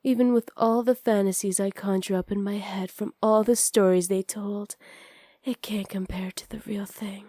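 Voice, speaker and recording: A woman playing a seductive, teasing witch in an intimate ASMR roleplay, sly and possessive, often slipping into breathy whispers close to the microphone. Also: mid-range voice, fairly even intonation, dark tone, dry recording, natural voice. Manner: slow, breathy, often whispered, flirtatious purr. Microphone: close studio microphone.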